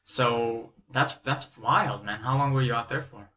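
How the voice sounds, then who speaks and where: A man's voice: medium pitch, a little slow, pushed and bright, muffled recording. A man from Romania who grew up in the US, hosting a podcast interview in casual, slangy, curious chat. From born in Romania, raised in the US from age eight. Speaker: podcast host interviewing a guest.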